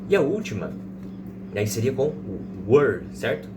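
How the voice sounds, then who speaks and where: A man in his thirties with a Brazilian accent, teaching informally and didactically, repeating examples slowly and checking in with listeners. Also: medium pitch, big pitch swings. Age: 30s. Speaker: English teacher. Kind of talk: informal explanatory language lesson with slow example sentences. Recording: distant mic, loud background noise.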